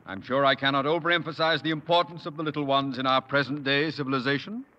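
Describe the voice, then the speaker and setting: A man's voice: fairly even intonation, fast, bright, forceful tone, lightly acted. A man playing a pompous, blustering school principal. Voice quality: pompous, booming. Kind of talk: 1950s radio sitcom acting. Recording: dull, noisy old radio broadcast.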